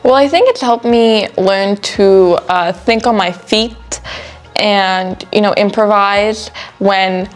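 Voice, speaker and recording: A late-teen young woman, the student host of a school show, answering interview questions in a relaxed, thoughtful way with frequent "you know" fillers. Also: mid-range voice, slow. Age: late teens.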